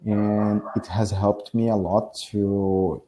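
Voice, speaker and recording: Slow Brazilian man with a dark voice. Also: lowish voice, little pitch movement, a little hiss or hum. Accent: Brazilian Portuguese. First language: Portuguese. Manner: hesitant, restarts phrases, laughs often. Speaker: English learner. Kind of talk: casual online lesson chat. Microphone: video call.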